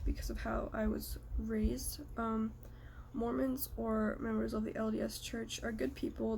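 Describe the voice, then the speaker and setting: A young woman from the United States giving a prepared personal reflection in a measured, earnest way. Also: on the high side, vocal fry, warm tone, slight background noise.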